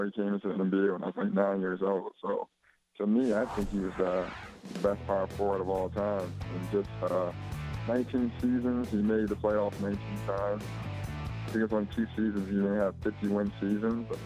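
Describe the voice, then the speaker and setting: Young male athlete guest on a dull phone line, deep and monotone, easygoing and rambling. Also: a little fast, music in the background. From Chicago, USA. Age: 20s. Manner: laid-back, rambling with many fillers. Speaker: basketball player guest. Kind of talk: casual phone interview.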